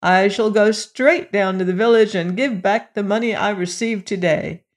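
A woman's voice: mid-range voice, normal speed, close to an everyday voice. A woman voicing an earnest, honest old man in a storybook tale. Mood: dejected. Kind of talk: storybook character voice.

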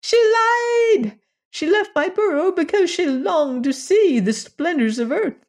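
A woman plays a tiny, desperate alien in a storybook reading, his voice thin and squeaky, almost a whimper. He pleads in stiff, over-formal phrases, pitched far above a normal speaking voice. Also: expressive, takes it slowly, darkish tone, full character voice. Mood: anguished. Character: small pitiful male squirrel-like alien, humble and desperate. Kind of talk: audiobook character voice.

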